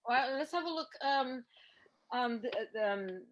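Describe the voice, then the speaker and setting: A woman's voice: sits high, expressive, measured pace, lo-fi sound. A woman hosting a casual discussion podcast, talking emphatically and often repeating words as she thinks. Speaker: podcast host.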